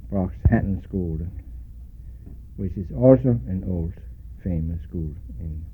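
An older man from Denmark with a Danish accent, recalling his life in an oral history interview, pausing often and spelling out names letter by letter. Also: deep voice. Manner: slow, spells out names letter by letter, many hesitations. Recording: tinny lo-fi recording with faint hiss.

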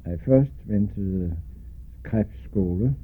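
An older man from Denmark with a Danish accent, recalling his life in an oral history interview, pausing often and spelling out names letter by letter. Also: on the low side, slightly rough. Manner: slow, spells out names letter by letter, many hesitations. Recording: tinny lo-fi recording with faint hiss.

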